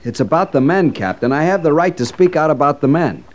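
An actor playing an earnest, respectful but persistent cavalry subordinate officer in a 1950s radio western, speaking in a measured way. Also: male voice, a little high, animated, close to an everyday voice. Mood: earnest, concerned. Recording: dull, muffled old radio recording.

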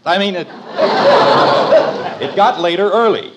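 A man's voice: high-pitched, sing-song, takes it slowly, a loud crowd, exaggerated voice. An actor playing an exasperated, stubborn middle-aged father in an old radio sitcom, grumbling and arguing emphatically. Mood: flustered, exasperated. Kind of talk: 1940s-50s radio sitcom acting. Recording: noisy old radio recording.

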